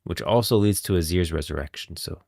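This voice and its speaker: A man's voice: sits low, a little fast, vocal fry, darkish tone, dry recording, clean studio sound. A man live-streaming casual commentary on a game, explaining in a conversational way and often rephrasing himself.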